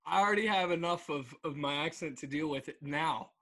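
A young man co-hosts a laid-back podcast with a bright, edgy tone. His voice sits slightly high. He jokes a lot and drops quick, animated asides. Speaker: co-host. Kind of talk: casual podcast chat.

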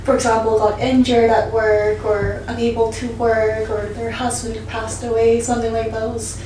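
Korean-born young woman, soft and a bit hesitant, lots of ums, slightly high voice, echoey and far off. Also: flat delivery, normal speed, a little hiss or hum. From South Korea. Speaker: guest interpreter. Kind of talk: casual interview answers. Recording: echoey room, mic at a distance.

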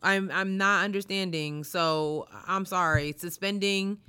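A woman's voice: on the low side, steady tone, pushed and bright, clean tone. Indignant woman chatting casually. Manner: emphatic, slows down to stress indignant points. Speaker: podcast co-host. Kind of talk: casual chat about news.

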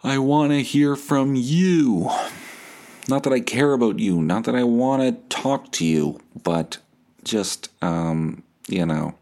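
A man's voice: medium pitch, lively intonation, takes it slowly, darkish tone. A young man hosting a casual, rambling solo podcast in a profane, slangy, self-deprecating way. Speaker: podcast host.

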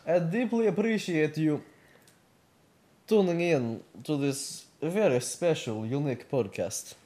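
A man playing Vladimir as a pompous, dramatic Russian patriot on a comedy podcast, with a put-on Russian accent and halting broken English. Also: a little high, mellow. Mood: pompous, stern. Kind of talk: in-character comic podcast banter.

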